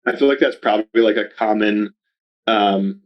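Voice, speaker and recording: A man with a fairly low voice talks casually as a podcast guest. His delivery is loose and rambling, full of "like" and restarts, and stays upbeat. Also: moderate pace, lo-fi sound. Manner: rambling, heavy use of "like", upbeat. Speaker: podcast guest, video advertising researcher. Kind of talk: casual interview chat.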